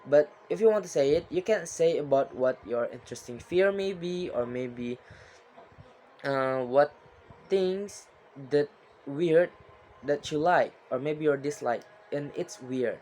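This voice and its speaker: A young man giving a spoken exam presentation as a lesson, talking haltingly with frequent restarts and non-native grammar. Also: on the high side, lively intonation, darkish tone, a little hiss or hum.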